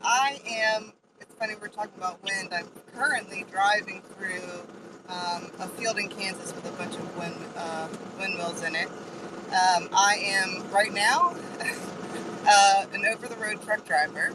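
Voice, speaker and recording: A woman in her forties chats candidly from the cab of a moving truck, filling her pauses with ums. She sits back from the mic. Loud road noise rolls under everything she says. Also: mid-range voice, steady tone, far from the mic. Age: 40s. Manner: candid, self-deprecating, lots of ums. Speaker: guest, truck driver. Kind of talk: casual introduction in an audio chat.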